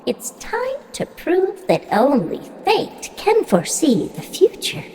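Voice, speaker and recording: Grand fate goddess, high voice swooping everywhere, declaiming, audio drama. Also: female voice, wildly swooping pitch, takes it slowly, mellow, full character voice. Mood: commanding. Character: commanding, zealous female Fate of myth. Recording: echoing hall reverb.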